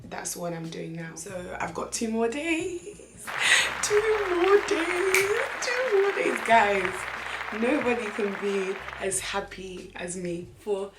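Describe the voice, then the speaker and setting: A young woman from Nigeria chatting in a vlog, her voice slightly croaky, animated, laughing often and repeating phrases for emphasis. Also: mid-range voice, takes it slowly, a loud crowd, heavy background noise. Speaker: vlogger. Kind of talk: casual chatty vlog talk. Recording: echoey room.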